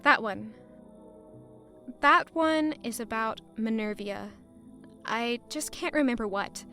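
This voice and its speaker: A woman's voice: fairly high pitch, takes it slowly, pushed and bright, soft background music, exaggerated voice. A woman playing an affectionate, playful young woman in love in an audio drama, with a light, romantic manner. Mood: tender, devoted.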